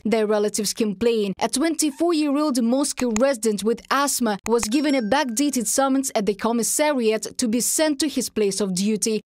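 A woman reporter reading a scripted news voice-over in an even, measured way. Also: on the high side, no room sound, studio quality.

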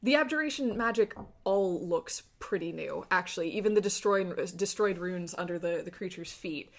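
A woman game master narrating a tabletop fantasy game with deliberate, descriptive storytelling. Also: fairly low pitch. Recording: music playing behind. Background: music.

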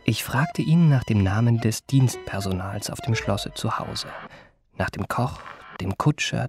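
A man's voice: mid-range voice, gravelly. An actor playing a suave, self-possessed young confidence trickster, narrating his memoirs in polished literary style for a radio play. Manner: polished, courteous, composed.

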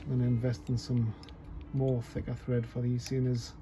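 Bloke doing a relaxed fly tying how-to at his desk, mid pitch, rambling and jokey, music going under him. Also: normal speed. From North East England. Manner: chatty, self-deprecating, thinks aloud while working. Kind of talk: casual off-the-cuff tutorial.

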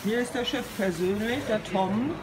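A man's voice: high-pitched, normal speed, very noisy. A man walking through a busy indoor exhibition hall with a handheld phone, chatting and introducing the people at his booth. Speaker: exhibitor filming his trade fair booth. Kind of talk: casual walk-through narration. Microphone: handheld phone camera. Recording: loud hall ambience.

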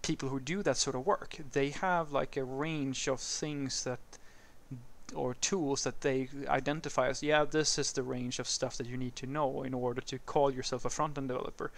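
Gravelly-voiced Swedish man talking while walking. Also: medium pitch, steady tone, moderate pace. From Sweden. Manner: conversational, restarts and "uh" fillers. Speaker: programming channel host. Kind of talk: casual solo talk to camera. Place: outdoors on a suburban street.

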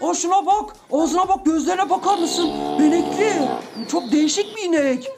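A woman hosting a children's play video as a parent, in an excited, play-acting style, exclaiming and repeating short commands. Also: extremely high pitch, normal speed, music in the background.

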